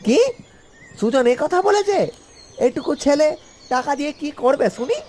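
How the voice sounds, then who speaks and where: A man's voice: very high voice, expressive, moderate pace, darkish tone, a little hiss or hum. A man voicing a village husband and father in a cartoon, exasperated yet placating and full of questions. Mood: incredulous. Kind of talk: animated cartoon voice acting.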